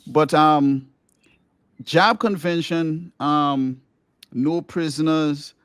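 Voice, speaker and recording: Middle-aged man, light squeaky voice, rambling eager talk, dry close recording. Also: drawn-out words, smooth, dry recording. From Grenada. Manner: enthusiastic, rambling, many restarts. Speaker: podcast host and musician. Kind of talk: casual podcast interview.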